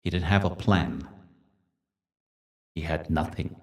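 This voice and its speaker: Male meditation guide, low-pitched, gentle and deliberate storyteller, miked from slightly far off. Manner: gentle, deliberate, soothing delivery with dramatic pauses.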